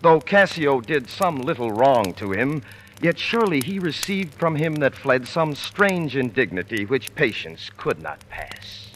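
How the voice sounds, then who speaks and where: A sly, scheming soldier in a vintage Shakespeare radio drama. His delivery swings widely, from hushed insinuation to urgent heartiness. The old recording has a faint layer of noise. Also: mid-range voice, big pitch swings, measured pace, low-quality recording. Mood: reluctant, grave. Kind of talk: 1940s radio acting of Shakespeare.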